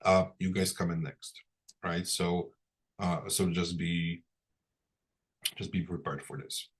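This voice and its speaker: Low, husky man teaching informally. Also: fairly even intonation, slow. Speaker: instructor training interpreters. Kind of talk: informal spoken lesson.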